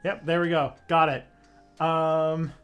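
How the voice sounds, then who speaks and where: A man hosting a livestream in loose, joking chat. He pads his talk with fillers and speaks in a fairly high voice. Soft music plays faintly in the background. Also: flat delivery, slow pace, smooth. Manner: chatty, filler-heavy, joking. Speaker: livestream host.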